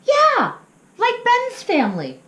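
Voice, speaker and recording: A woman's voice: very high voice, wildly swooping pitch, slow, a bit bright, voice pushed up, exaggerated voice. A woman voicing a curious, eager little wolf pup in a children's storybook, with childlike, emphatic questions. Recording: roomy, echoing sound.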